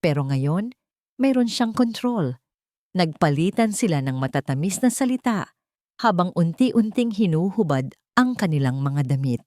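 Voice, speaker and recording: A Filipino woman narrates a dramatic story in a fairly low voice. The sound is dry and close, with little room to it. Her delivery is that of a scripted audiobook drama. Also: very animated, unhurried, dark tone, no echo.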